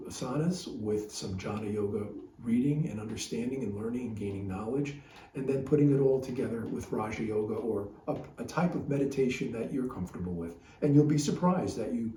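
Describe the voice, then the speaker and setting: A man hosting a podcast, giving a reflective spiritual talk in a halting, rambling way. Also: mid-range voice, warm tone, slight background noise. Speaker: podcast host. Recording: roomy, distant mic, faint music behind.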